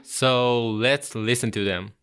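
A young man in his twenties with a Japanese accent hosts a music radio show, his voice bright and crisp. He talks in an earnest, unhurried way with fillers and restarts, like a non-native speaker finding his words. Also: medium pitch, steady tone. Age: 20s. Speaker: radio host. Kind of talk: casual radio show introduction.

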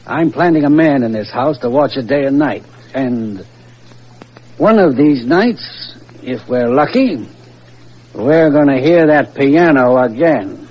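An actor playing a shrewd, commanding police inspector in an old-time radio mystery, with clipped, authoritative delivery. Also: male voice, medium pitch, expressive, slow, breathy edge. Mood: brisk, determined. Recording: muffled, noisy vintage radio recording.

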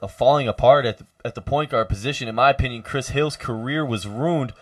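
A young man hosting a college radio sports talk show, loud and energetic, halting with many fillers. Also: medium pitch, no echo, clean studio sound.

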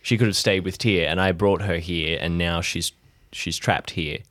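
A man playing a guilt-ridden, introspective friend in an improvised audio drama, opening up in halting, repeated phrases. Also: deep voice, steady tone, fairly bright tone, no echo, clean studio sound. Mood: guilty, burdened.

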